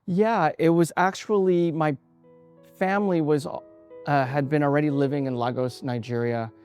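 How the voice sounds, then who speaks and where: A man's voice: on the high side, fairly even intonation, normal speed, a little mellow, faint music behind. A man in his forties who grew up in Canada, an artist talking about his work in an interview, thoughtful and hesitant, with many fillers and restarts. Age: 40s.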